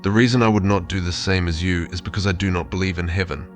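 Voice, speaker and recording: Male actor as therapist chatbot claiming to be human: deep, formal, matter-of-fact, music underneath. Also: fairly even intonation, fast talker, natural voice. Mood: flat assurance. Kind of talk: reading out chatbot messages.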